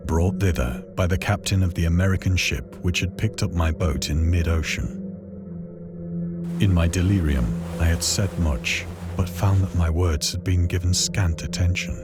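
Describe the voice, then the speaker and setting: A man acting as a haunted sailor with a very low voice narrates a horror adventure game. He tells his own tale of madness in grave, measured, literary phrases. Loud music and storm effects run beneath him. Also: lightly acted. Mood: grave, resigned. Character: haunted male sailor recounting a descent into madness. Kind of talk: dramatic first-person horror story narration.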